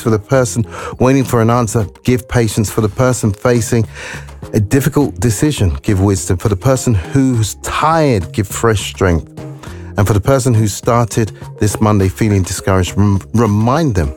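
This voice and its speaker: A man's voice: lowish voice, moderate pace, a little mellow, faint music behind. A man presenting a gospel radio show from a studio, chatting upbeat with listeners and leading prayer. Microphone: studio microphone.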